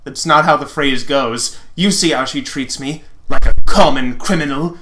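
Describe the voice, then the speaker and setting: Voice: mid-range voice, moderate pace, noisy background, natural voice. A performer voicing a haughty, suspicious male alien prince with a sneering manner in a fan fiction reading. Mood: bitter. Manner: sneering, disdainful.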